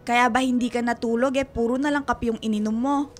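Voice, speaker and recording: A woman's voice: fairly high pitch, fairly quick, natural voice. An actress playing a fretful, doting middle-aged Filipino mother who fusses and nags in a radio drama. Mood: tender, fussing. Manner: fussing, nagging, expressive. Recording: music behind the voice.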